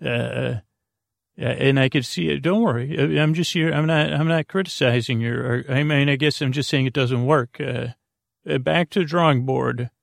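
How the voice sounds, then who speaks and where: A man with a creaky voice hosting a bedtime podcast, rambling in an improvised, halting monologue that meanders and loses its thread. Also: mid-range voice, dry recording, studio quality. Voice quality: creaky, gravelly. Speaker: podcast host.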